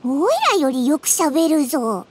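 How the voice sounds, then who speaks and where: A woman voicing a small, childlike, cheeky fairy companion in an anime game, with a squeaky voice and blunt, exclamatory delivery. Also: animated, measured pace, darkish tone, a little hiss or hum. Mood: baffled, grumbling. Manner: blunt, exclamatory, boyish informal speech.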